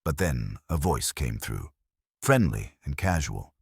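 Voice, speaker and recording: A man's voice: extremely low pitch, sing-song, slow pace, gravelly, breathy edge, no echo, clean studio sound. A man giving a scripted first-person horror narration in a grave, suspenseful storytelling manner. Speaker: narrator of horror stories, posing as a trucker.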